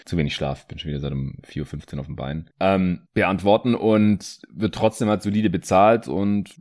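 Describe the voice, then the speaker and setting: A man's voice: low-pitched, moderate pace, a little mellow. A man hosting a sports podcast in casual, colloquial talk, mixing in English sports jargon. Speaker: podcast host. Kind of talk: casual basketball podcast talk, reading listener questions.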